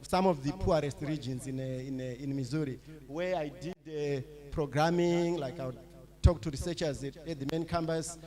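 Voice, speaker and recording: Halting middle-aged man giving a lecture. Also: a little high. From Zimbabwe. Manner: halting, with many fillers and restarts. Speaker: lecturer, associate professor. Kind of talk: public lecture.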